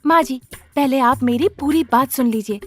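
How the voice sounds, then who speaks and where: A woman voicing a fame-hungry young daughter-in-law, excitable and wheedling, in a story. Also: sits high, normal speed, soft background music, natural voice. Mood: pleading. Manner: whiny, exclaiming, wheedling.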